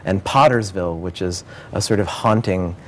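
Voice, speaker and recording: A man's voice: low voice, normal speed, slight background noise. A man speaking as a theater director in an interview, earnest and enthusiastic about his work. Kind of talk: promotional interview about a stage production.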